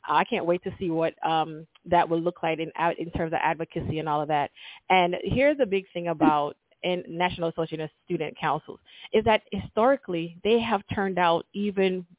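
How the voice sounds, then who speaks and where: A woman's voice: lowish voice, a little fast, dry recording. Jamaican woman principal on a tinny call. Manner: enthusiastic, earnest, polite with frequent fillers. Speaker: middle school principal guest. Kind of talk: conversational interview. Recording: tinny call audio, dry.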